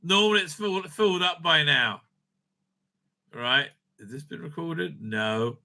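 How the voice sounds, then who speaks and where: A man giving unscripted, profane, opinionated commentary as a video host, stammering and repeating himself in an excitable way. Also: fairly high pitch, a little slow, gravelly.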